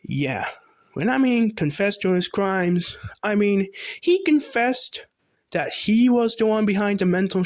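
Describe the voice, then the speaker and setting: A man's voice: sits high, exaggerated voice. A man playing a local TV news anchor in an amateur drama, with informal, dramatic delivery. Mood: incredulous. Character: male local TV news anchor sharing grave news. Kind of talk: live news report.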